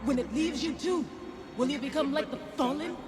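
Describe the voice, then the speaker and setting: A woman voicing the ghostly apparition of a bereaved wife in a video game, stern and unflinching, with a pointed, deliberate delivery. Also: sits high. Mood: bitter, accusing. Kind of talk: dramatic video game voice acting. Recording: echoing voice over dramatic music.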